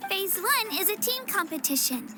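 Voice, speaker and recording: Modest young warrior girl, very high youthful voice, earnest, slightly hesitant, video game acting, prominent background music. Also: sing-song, normal speed. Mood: friendly, explanatory. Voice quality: youthful, girlish. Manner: earnest, self-effacing, hesitant.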